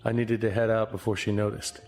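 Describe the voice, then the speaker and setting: A man playing a crude, wisecracking noir-style hero in a comedy audio drama, delivered deadpan. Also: lowish voice, brisk, faint music behind, close to an everyday voice. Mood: deadpan musing.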